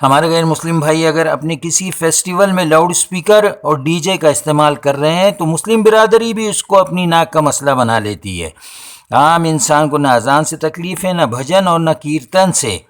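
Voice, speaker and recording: A man delivers a scripted opinion monologue in formal language, with oratorical, deliberate phrasing. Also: a little high, animated. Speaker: social and religious commentator.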